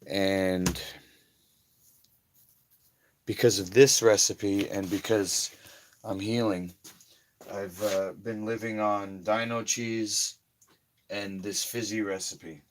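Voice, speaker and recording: A hoarse man talking casually in a slow, rambling health vlog, often trailing off quietly. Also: lowish voice, steady tone, slight background noise. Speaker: health vlogger.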